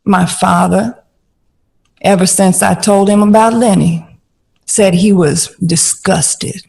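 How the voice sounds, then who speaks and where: Salty, slow-drawling film star, husky voice. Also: female voice, medium pitch, steady tone, a little mellow, exaggerated voice. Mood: wounded, reflective. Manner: salty, blunt, drawn-out emphatic phrasing. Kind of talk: period audio drama dialogue.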